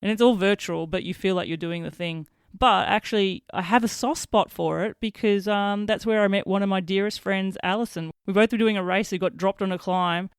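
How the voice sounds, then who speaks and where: A woman's voice: medium pitch, steady tone, fairly quick, few pauses, smooth, no room sound, clean studio sound. A woman hosting a podcast interview, chatty and asking long, rambling questions. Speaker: podcast host. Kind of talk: casual interview chat.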